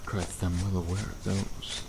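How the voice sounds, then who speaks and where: Man playing a low-voiced teasing vampire, crickets behind. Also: flat delivery, a little slow, gravelly. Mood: teasing, smug. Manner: sardonic, playful banter with pet-name teasing. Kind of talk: ASMR roleplay acting, addressing the listener. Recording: noisy outdoor ambience with crickets.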